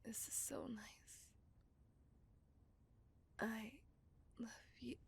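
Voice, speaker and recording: A woman's voice: on the high side, level tone, heavily acted. A woman playing a possessive, menacing, centuries-old female vampire in a whispery, intimate ASMR roleplay. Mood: soothing, possessive. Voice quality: breathy, whispery. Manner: slow, intimate, lingering delivery with sighs. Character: possessive five-hundred-year-old female vampire, obsessively loving, menacing.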